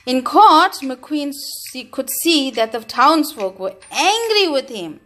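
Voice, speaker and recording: High, animated woman reading a children's storybook. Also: faint music behind. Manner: expressive, deliberate, emphatic phrasing for children. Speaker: storybook reader. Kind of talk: read-aloud children's storytelling.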